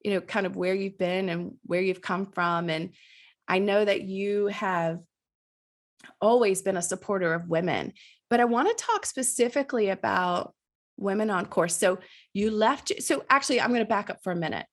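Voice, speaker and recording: A woman's voice: mid-range voice, normal speed. Upbeat woman podcast host, nasal today. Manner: enthusiastic, effusive. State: nasal and slightly raspy from allergies. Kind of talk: conversational interview.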